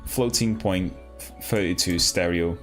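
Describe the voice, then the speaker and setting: A man hosting a live coding stream, talking casually and haltingly as he thinks aloud and asks himself questions. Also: low-pitched, fairly bright tone, soft background music. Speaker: livestream host, programmer.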